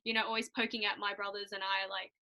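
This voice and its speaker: A young woman, a Mandarin speaker, chatting as a guest and telling animated stories with frequent laughter. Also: mid-range voice, fast, creaky. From Australia. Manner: animated storytelling, laughs often. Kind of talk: casual chat.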